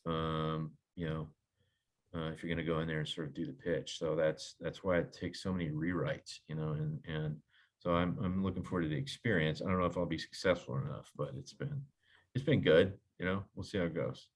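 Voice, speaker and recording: A man talking casually and haltingly on a video call, as a scriptwriter in an online meeting. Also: extremely low pitch, steady tone.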